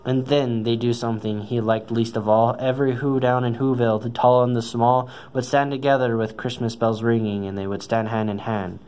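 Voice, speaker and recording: A man's voice: medium pitch, little pitch movement, a little fast, few pauses, a little mellow. A man reading a children's story aloud, stumbling now and then over the words. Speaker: storybook reader. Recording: noisy amateur recording.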